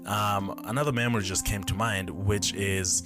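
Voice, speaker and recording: A man's voice: lowish voice, fairly even intonation, moderate pace, music in the background. A man in his twenties hosting his own podcast, telling childhood memories in a rambling, self-correcting way as he thinks aloud. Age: 20s. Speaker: podcast host. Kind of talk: casual solo storytelling. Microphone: camera mic.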